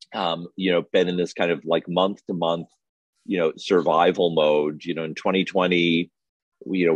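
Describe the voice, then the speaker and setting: A man giving a conversational interview answer as a guest, halting and searching for his words. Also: dry recording. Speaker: film festival director, panel guest. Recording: muffled, boomy room sound.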